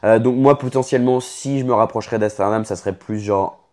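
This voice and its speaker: Casual young Frenchman with a lowish voice. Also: fairly quick, darkish tone. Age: late 20s. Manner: informal, franglais slang mixed in. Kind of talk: casual chat about career and personal life.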